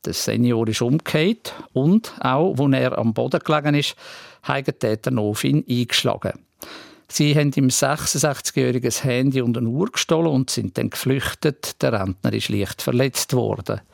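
A man's voice: mid-range voice, normal speed, creaky, no echo, clean studio sound. A man with a Swiss German accent presenting regional radio news from a script.

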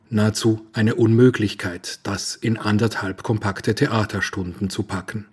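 A man with a husky, breathy voice reading a scripted arts report. He speaks in a measured, literary way, at a middle pitch. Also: darkish tone. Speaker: culture radio reporter. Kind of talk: scripted narration.

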